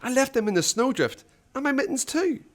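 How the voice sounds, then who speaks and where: Storybook little boy, played by a man: scared and breathless, high-pitched, swinging between cries and whispers. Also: big pitch swings, fairly quick. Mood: panicked. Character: frightened little boy lost in a winter forest.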